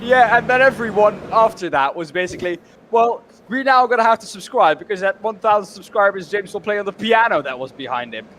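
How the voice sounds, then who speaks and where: A man's voice: high voice, expressive, a little fast, hardly any pauses, fairly bright tone, slight background noise. A man co-commentating a sim race, speaking with hesitation and lots of filler words, polite and careful. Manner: hesitant, many ums, polite.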